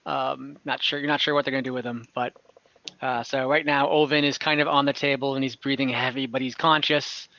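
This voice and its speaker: A man narrating an online fantasy game as game master, conversational and halting, with some light amusement. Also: mid-range voice, little pitch movement, bright, forceful tone, dry recording, muffled recording.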